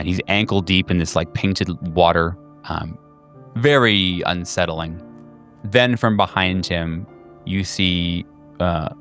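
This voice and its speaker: A man working as game master, improvising horror narration in a halting way with drawn-out pauses over music. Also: sits low, a bit bright.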